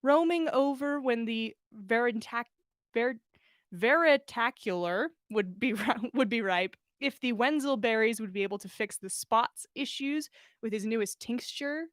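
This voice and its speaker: Woman, high-pitched voice, expressive read-aloud narration, animated delivery, very dry recording. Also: a little slow, no echo. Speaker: podcast host reading listener fan fiction.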